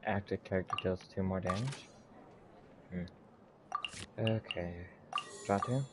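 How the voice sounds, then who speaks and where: Low-voiced man muttering through gameplay. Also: steady tone, unhurried. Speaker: video game streamer. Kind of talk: casual gameplay commentary, thinking aloud. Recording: clearly noisy background.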